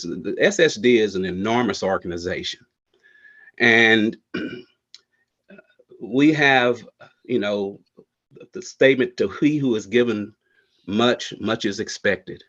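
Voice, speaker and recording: A man's voice: on the low side, a bit bright, highs cut off. A middle-aged man, an incumbent candidate, answering a board's question at length with many fillers and restarts. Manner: verbose, halting with many fillers.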